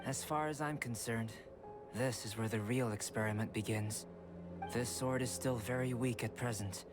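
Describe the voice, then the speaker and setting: A man plays a composed young alchemist with a mid-pitched voice who speaks precisely and formally. He stays measured and scholarly while game music plays behind him. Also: fairly even intonation, natural voice. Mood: explaining. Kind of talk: game cutscene voice acting.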